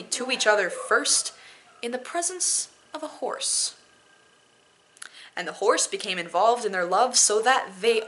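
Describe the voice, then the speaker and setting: A woman's voice: on the high side, a bit bright. A woman doing an amateur dramatic poetry reading with casual asides, theatrical and self-deprecating in manner.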